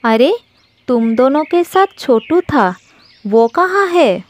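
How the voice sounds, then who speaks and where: A woman voicing a fierce, protective mother deer in a cartoon, speaking loudly. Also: sits high, big pitch swings, slow, heavily acted. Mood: worried. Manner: loud, scolding and pleading.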